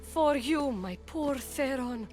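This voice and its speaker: A woman's voice: high-pitched, lively intonation, slow, darkish tone. Lovesick, mournful young woman. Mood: mournful, resolved. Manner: mournful, insistent. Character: grieving, lovesick young woman resolved to forget. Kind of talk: scripted video game dialogue. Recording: music behind the voice.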